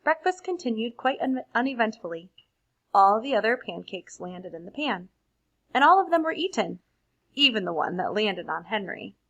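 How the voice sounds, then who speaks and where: A woman reading a picture book aloud to children in an expressive storytelling manner, adding simple, casual explanations. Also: mid-range voice, normal speed. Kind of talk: read-aloud picture book narration with casual asides to young listeners.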